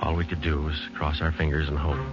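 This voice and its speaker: Brief: a weary radio-drama detective, low and matter-of-fact, on a dull vintage broadcast. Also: male voice, little pitch movement, fairly quick. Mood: terse. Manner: clipped, matter-of-fact and terse. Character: low-voiced weary male police detective narrator. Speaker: detective and narrator. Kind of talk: 1940s radio drama acting. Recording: muffled old radio broadcast with music.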